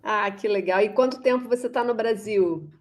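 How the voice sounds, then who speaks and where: A woman's voice: mid-range voice, normal speed, darkish tone. Brazilian woman, panel moderator, mid-range voice, informal but academic, reflective, feels her way to the right word. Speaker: moderator of an online course panel.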